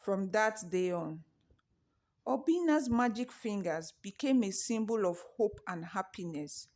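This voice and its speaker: A woman narrating a scripted children's folktale with measured, deliberate phrasing. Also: medium pitch, animated, a little mellow. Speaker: storyteller.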